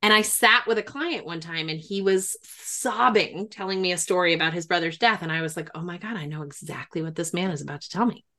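A middle-aged woman with a fairly low voice talks in a reflective interview. She sounds like an earnest therapist thinking aloud, leaning on key phrases to make them land. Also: brisk, hardly any pauses, bright, forceful tone. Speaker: therapist and grief expert.

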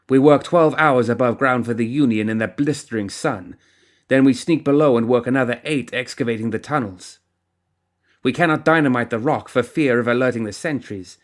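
A man voicing a fierce, resentful woman, a rail-worker rebel bound by duty, with a stiff, forceful delivery in an audiobook. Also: medium pitch, lightly acted. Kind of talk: audiobook character acting.

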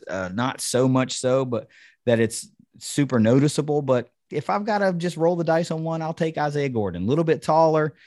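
A man's voice: mid-range voice, darkish tone, no echo. Man talking football in a dry studio sound. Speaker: sports podcast host. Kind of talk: casual football analysis chat.

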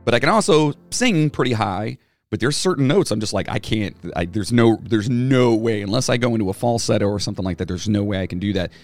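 A man's voice: lowish voice, fairly quick, darkish tone, faint music behind. A man co-hosting a casual podcast chat, a former band singer who rambles with plenty of fillers and restarts. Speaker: podcast co-host.

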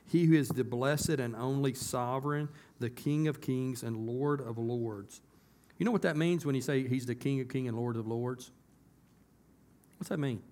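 A hoarse man, a pastor talking to his congregation in a casual, folksy way that turns emphatic. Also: medium pitch, normal speed, a little mellow. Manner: folksy, conversational, rising to emphatic. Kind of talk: casual church announcements and sermon. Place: church sanctuary.

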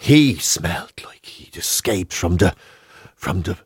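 A man's voice: low-pitched, expressive, slow, slightly rough. An Irish man co-hosting a radio show, chatting casually in a jokey, rambling way.

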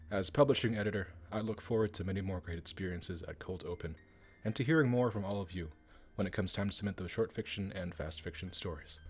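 A man's voice: on the low side, darkish tone. A man giving a scripted personal testimonial as an editor. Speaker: publishing editor.

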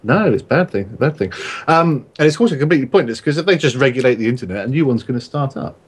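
A man's voice: medium pitch, lively intonation, quick pace, slightly rough. A man in his thirties bantering casually as a co-host on a group podcast. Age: 30s.

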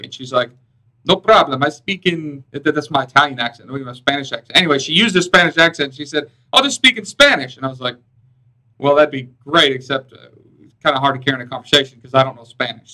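A man's voice: on the high side, sharp tone, low-quality recording. A man with an Alabamian accent hosting a podcast, chatting in an upbeat, rambling way. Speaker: podcast host. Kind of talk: casual interview chat.

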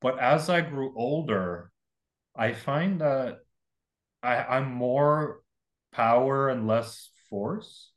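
Man, young adult, from Russia, podcast guest, candid, rambling, self-mocking, medium pitch. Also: extremely slow. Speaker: painter guest. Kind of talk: casual podcast conversation.

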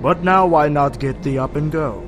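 A man as a high-voiced, pleading hunchback groom. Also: normal speed, over music, close to an everyday voice. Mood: obsequious. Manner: obsequious, pleading, excitable. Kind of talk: audio drama acting of an Arabian Nights tale.

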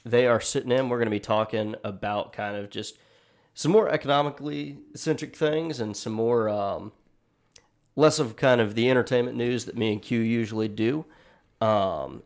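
A man's voice: mid-range voice, vocal fry. A man hosting a casual podcast, chatty and loose, with many ums and offhand jokes. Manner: rambling, filler-heavy, self-deprecating. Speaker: podcast host.

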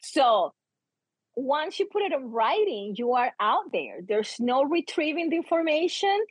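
A woman's voice: sits high, sing-song, measured pace, no room sound, low-quality recording. A woman with a non-native English accent telling personal stories as an interview guest, emphatic and theatrical, often voicing her own thoughts. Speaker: interview guest, book co-author, teacher and performer. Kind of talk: animated personal storytelling in an interview.

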